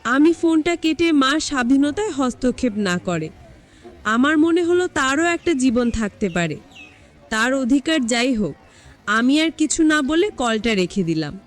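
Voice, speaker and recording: High-pitched, animated woman narrating over music. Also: a little slow. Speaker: story narrator. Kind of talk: scripted first-person story narration.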